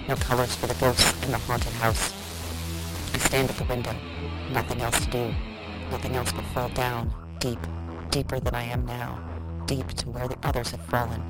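A woman's voice: a bass voice, rough recording. An actress playing a forgotten madwoman confined in an asylum, speaking a bleak, repetitive, incantatory monologue for an audio drama. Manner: flat, incantatory, repeating phrases. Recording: loud music under the voice.

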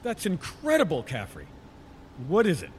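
An actor playing a haunted middle-aged physicist, grave and dramatic, in a sci-fi audio drama. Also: male voice, sits high, huge pitch swings, normal speed, a little mellow. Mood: puzzled, impressed. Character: haunted middle-aged physicist father leading a science team. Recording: echoey reverberant room, faint noise.

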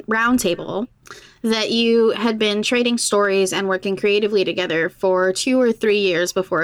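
Woman, medium pitch, dry recording, podcast interviewer, conversational. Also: fairly bright tone, clean tone, no echo.

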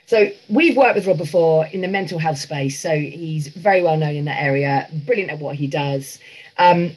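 Woman, lowish voice, event host, quick intro read partly from notes. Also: darkish tone, rough recording. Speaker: event organiser introducing speakers.

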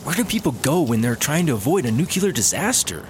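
An actor playing a breezy, argumentative, cocky slacker cop in a sci-fi comedy audio drama. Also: male voice, fairly high pitch, sing-song, fairly quick, background noise. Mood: exasperated. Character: cocky, laid-back, hungry buddy-cop slacker.

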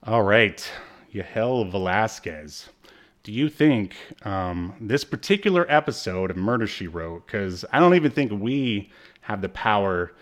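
A man hosting a casual pop-culture review chat, speaking in a halting, self-deprecating way. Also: fairly low pitch, big pitch swings. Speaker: podcast host.

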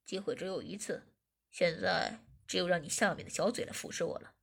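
Give me a taunting, commanding man, voiced by a woman with a husky low tone. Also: brisk, fairly bright tone, heavily acted. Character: bossy, domineering adult man.

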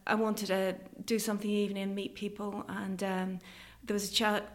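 A woman's voice: mid-range voice, flat delivery, normal speed. A middle-aged woman from London with an English-sounding accent, chatting casually as an interview guest. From London, then Ireland from age thirteen. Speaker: guest, stained glass artist. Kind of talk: casual conversational interview answers.

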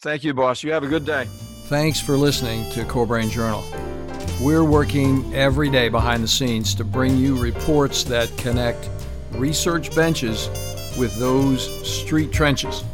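A man's voice: medium pitch, a little slow, over music. A man hosting a podcast interview, talking casually with lots of restarts and asides, then closing the show. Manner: rambling, with frequent restarts. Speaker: podcast host. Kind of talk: casual interview chat, then scripted outro.

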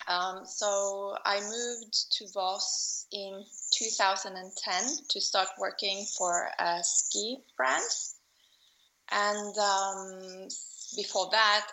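A middle-aged Norwegian woman with a Norwegian accent speaks slowly and carefully in an online interview. Her voice has a bright, forward edge, and she stops often with soft fillers and small laughs as she finds her words. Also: medium pitch, low-quality recording. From Norway. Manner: thoughtful, pauses often with fillers, light laughs. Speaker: guest, fashion designer. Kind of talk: remote interview conversation. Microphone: online call.

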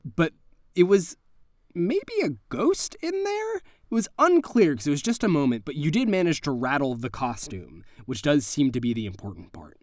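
A man's voice: fairly high pitch, lively intonation, a little fast, darkish tone, no room sound. A man narrating an improvised tabletop game as game master, casual and vivid in his describing. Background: music.

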